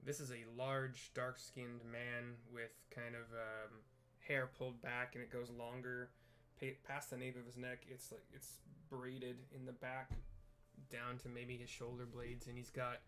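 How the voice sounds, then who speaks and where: A man casually describing game scenes as he plays, in a low-key, halting, improvised way. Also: monotone, bright, forceful tone.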